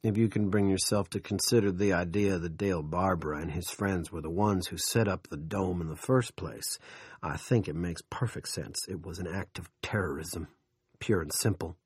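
A male narrator voicing a smug, menacing town boss who talks slowly and gloats. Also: sits low, steady tone, warm tone, dry recording, clean studio sound. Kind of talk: audiobook character reading.

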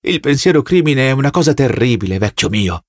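A man's voice: mid-range voice, animated, no room sound, clean studio sound, lightly acted. A man voicing a plump, servile, agitated party loyalist who whines and pleads in an audiobook. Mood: solemn, self-pitying. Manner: whining, rapid-fire questions with tag words.

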